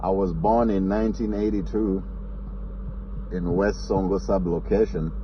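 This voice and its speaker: A man's voice: sits low, fairly even intonation, unhurried, muffled recording, noisy background. A man in his forties from Kenya with a Kenyan accent, talking earnestly to camera and stressing his points by repeating them. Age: 40s. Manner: earnest, emphatic, repeats key phrases. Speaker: vlogger. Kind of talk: casual personal talk to camera.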